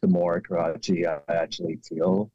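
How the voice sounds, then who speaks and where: A man's voice: low voice, flat delivery, normal speed, warm tone, dry recording, dull audio. A man in his forties from Pakistan, a history professor being interviewed, who speaks reflectively with frequent restarts and fillers and a wry turn of phrase. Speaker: guest historian.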